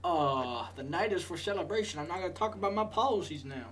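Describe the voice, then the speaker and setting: A man playing a newly elected president in a game, curt and evasive, with a raised, halting delivery. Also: high voice, expressive, fairly bright tone, voice pushed up.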